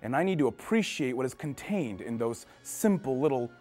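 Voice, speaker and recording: Lively man in a staged recitation. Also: mid-range voice, normal speed, faint music behind. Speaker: readers theater performer. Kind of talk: scripted recitation.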